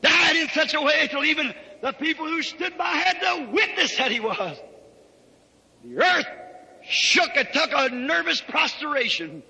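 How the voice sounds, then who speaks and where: A man's voice: extremely high pitch, animated, measured pace, creaky. A man preaching a fervent revival sermon in a dramatic, declamatory style. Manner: dramatic, declamatory delivery with emphatic shouts. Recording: reverberant hall recording.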